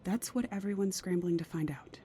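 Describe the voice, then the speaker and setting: A woman acting a careful, troubled career mother in an intimate audio drama, measured and sometimes hesitant. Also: lowish voice, warm tone, a little hiss or hum, lightly acted. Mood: anxious, confiding.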